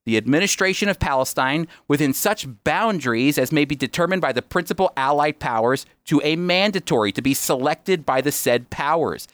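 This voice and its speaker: A man's voice: fairly high pitch, a bit bright, dry recording. Man explaining history in a dry studio sound. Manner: explanatory and emphatic, addresses the listener directly. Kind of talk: conversational teaching talk with read-out quotations.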